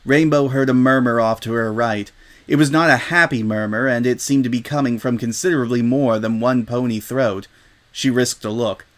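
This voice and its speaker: Male storyteller, medium pitch, scripted dramatic narration of a comic tale. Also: normal speed.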